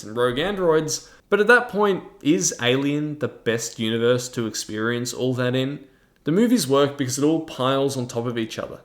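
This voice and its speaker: A man presenting a scripted review as the host of a video. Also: mid-range voice. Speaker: tabletop game reviewer and host. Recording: roomy, echoing sound.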